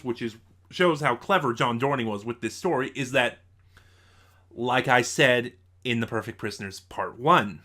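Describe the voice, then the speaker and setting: Male reviewer, medium pitch, informal recap delivery, halting and digressive with frequent self-corrections. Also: fairly bright tone. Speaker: audio drama reviewer. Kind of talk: casual review with story recap.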